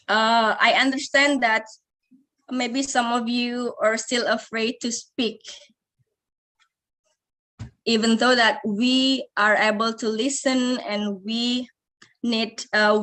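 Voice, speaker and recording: Indonesian woman teaching english, slow and kinda high, lots of ums and restarts. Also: a bit bright. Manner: hesitant, many fillers and restarts. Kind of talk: English lesson.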